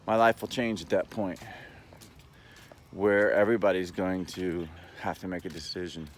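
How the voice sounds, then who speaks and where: A man talking in a casual, rambling monologue, halting but earnest and opinionated, as a vlogger. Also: sits low, steady tone, a little hiss or hum.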